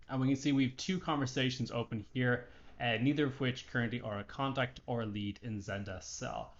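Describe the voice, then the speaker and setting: A man narrating an unscripted software walkthrough, explaining each action step by step as he goes. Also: mid-range voice, highs cut off. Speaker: software tutorial presenter.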